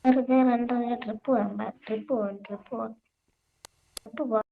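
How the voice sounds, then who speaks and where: Female gamer on voice chat, casual, fairly high, dull lo-fi mic. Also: steady tone, a little fast, gravelly. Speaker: fellow player on in-game voice chat. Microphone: game voice chat microphone. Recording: muffled game voice chat, faint noise.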